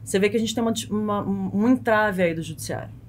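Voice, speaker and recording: A woman's voice: mid-range voice, fast, a little hiss or hum. A woman in her thirties with a Brazilian accent explains things conversationally as an expert podcast guest, often checking in with short tag questions. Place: small foam-padded podcast studio. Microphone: close studio mic on boom arm.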